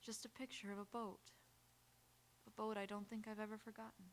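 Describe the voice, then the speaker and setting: A woman's voice: mid-range voice, little pitch movement, brisk, slight background noise, lightly acted. A young woman playing a proud, wry, emotional society bride in live radio theatre. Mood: gentle, wistful.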